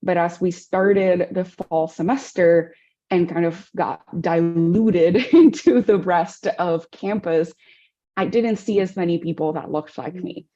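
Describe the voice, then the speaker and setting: A woman from the Dominican Republic speaks as a podcast guest, her voice low and mellow. She talks in a reflective, warm way and laughs easily. The recording sounds dull and lo-fi. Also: slow pace. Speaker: guest, veterinarian. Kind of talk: interview.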